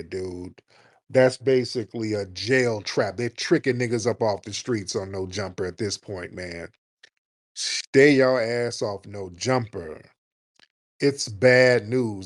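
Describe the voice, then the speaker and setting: A man with a fairly low voice hosts a call-in show. He talks bluntly and with heat, swears freely, and keeps a loose, animated rhythm. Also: a little slow. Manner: blunt, profane and animated, mocking others in a high put-on voice. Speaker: host.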